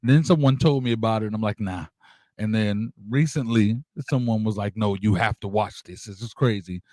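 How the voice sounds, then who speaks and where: A man's voice: on the low side, a little fast, warm tone, dry recording. A man hosting a radio interview in a casual, slangy, exclamatory hype style. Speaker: radio show host.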